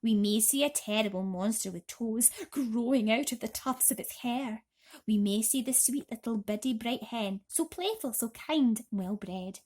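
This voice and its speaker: Woman putting on an excitable high-pitched storybook centipede. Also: moderate pace, close to an everyday voice. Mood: singsong, playful. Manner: exuberant, singsong rhyming delivery. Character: excitable, boastful male centipede, grinning and mischievous.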